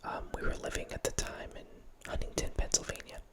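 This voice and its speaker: A man in his thirties hosting a whispered ASMR ramble, speaking softly right into a close studio microphone in a small home room, with lots of self-corrections. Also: fairly quick. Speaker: ASMR channel host. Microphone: close studio microphone, wearing headphones.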